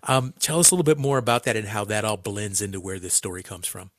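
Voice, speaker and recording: A man hosting a conversational book interview, putting long questions in measured, slightly halting phrases. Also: on the low side, no echo, studio quality. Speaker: interview host.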